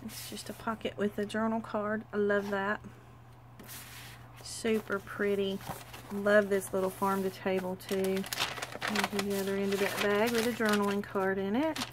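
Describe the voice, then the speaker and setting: A woman's voice: mid-range voice, little pitch movement, noisy background. Stuffy, gushing woman crafter. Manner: gushing, chatty, hurried. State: stuffy from a cold. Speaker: crafter showing a handmade journal. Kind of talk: casual craft walkthrough.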